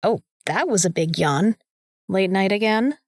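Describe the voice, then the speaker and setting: A sarcastic, opinionated young female student played by a woman in an audio drama. She speaks emphatically and bossily at a middling pitch. The sound is close and dry, with no room around it. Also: animated, a little slow, no echo. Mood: scolding, sarcastic. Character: bossy, sarcastic young female student, vampire slayer's sidekick.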